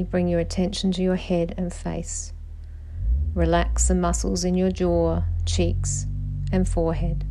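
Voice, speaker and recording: Slow, mellow woman guiding meditation. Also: lowish voice, vocal fry, poor audio. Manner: calm, soothing, measured instructions with pauses. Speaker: meditation guide, podcast host. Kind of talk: slow guided meditation narration.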